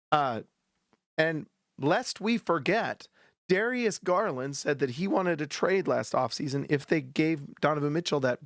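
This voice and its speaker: A man hosting a post-game basketball show, ranting bluntly and heatedly with a sore, hoarse throat. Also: on the high side, dry recording, studio quality. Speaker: sports podcast host.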